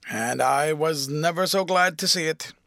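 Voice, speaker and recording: A man voicing a grumpy, plain-spoken coach driver who talks slowly and grudgingly, in an audiobook. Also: on the high side, gravelly, pushed and bright, no room sound, clean studio sound. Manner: grudging, slow and deliberate. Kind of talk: audiobook character voice.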